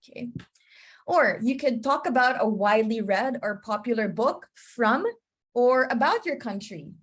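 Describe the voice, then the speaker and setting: Woman teaching a webinar over a video call, kinda high voice, keeps asking "you know?". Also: animated, measured pace, breathy edge. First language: English. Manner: chatty, often checks in with "you know?" and "okay?". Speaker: webinar presenter. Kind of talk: online teaching presentation.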